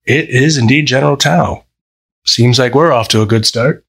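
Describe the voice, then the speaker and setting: A man puts on a sweet, confident flirt for an audio story. The voice is husky and breathy, with a gentle, mischievous manner. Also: mid-range voice, moderate pace. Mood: warmly amused.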